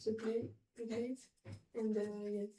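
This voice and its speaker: A nineteen-year-old French woman speaking soft, hesitant English with a French accent. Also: fairly high pitch, level tone, slow pace. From France. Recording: far from mic in echoey room.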